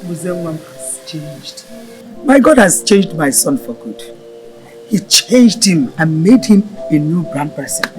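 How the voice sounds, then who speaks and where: A woman's voice: sits low, expressive, takes it slowly, faint music behind, rough recording. A woman with a Nigerian accent acting a grateful, devout mother in a film drama. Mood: grateful, joyful.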